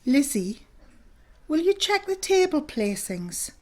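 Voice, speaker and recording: Stern middle-aged Edwardian matron, slow and commanding, very animated, audio drama. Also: female voice, sits high, background noise, heavily acted. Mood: brisk, scolding. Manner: sharp, scornful, commanding. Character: stern, haughty Edwardian matron of a care home.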